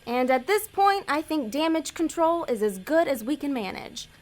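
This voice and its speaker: A high, swooping female voice, acted by a woman for a scheming demon in a comic audio drama. She is brisk and sarcastic, quick to boss people around and short on patience. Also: very animated. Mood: brisk coaxing. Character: bossy, impatient female demon posing as a talent developer. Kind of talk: audio comedy drama acting.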